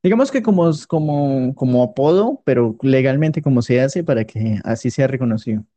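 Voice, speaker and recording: A man's voice: medium pitch, animated, normal speed, warm tone. A man with a Colombian accent chatting casually and jokily as a streamer being interviewed. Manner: jokey. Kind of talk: casual improvised chat.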